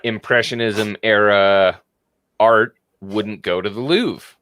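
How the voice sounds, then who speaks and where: A man's voice: lowish voice, slow pace. A man in his late twenties co-hosting a podcast, chatting casually and acting out quotes as he tells a story. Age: 20s. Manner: animated storyteller, acts out quotes. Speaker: podcast co-host.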